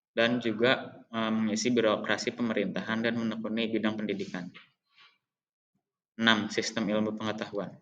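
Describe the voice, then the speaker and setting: A young man, a high school student, reading a school presentation aloud as an even, list-like recitation with a few slips and restarts. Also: muffled recording. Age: young adult.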